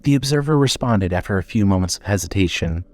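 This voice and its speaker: A man narrating a scripted horror audio drama in a measured, dramatic storytelling manner. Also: fairly low pitch, animated, darkish tone.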